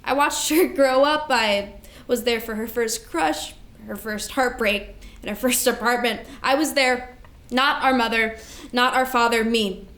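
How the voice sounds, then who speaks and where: Woman playing devoted big sister in a stage play, highish voice, earnest n emotional, carrying guilt, roomy echo. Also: a little slow, a bit bright. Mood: grieving. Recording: echoey room recording.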